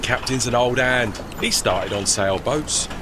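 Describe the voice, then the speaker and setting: A man's voice: medium pitch, a little slow, noisy background, close to an everyday voice. A man in an audio play acting as a loyal, bashful working tugboat with blunt, colloquial speech. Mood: reassuring. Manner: colloquial, blunt, rough grammar.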